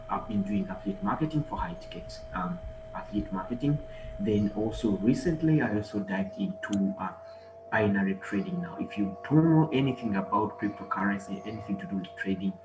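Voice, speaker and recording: Man, energetic motivational speaker, low voice, roomy echo. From Uganda. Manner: energetic, exhorts the audience with repeated calls. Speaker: guest speaker, online marketer and trader. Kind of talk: motivational conference presentation. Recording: echoey room with music behind.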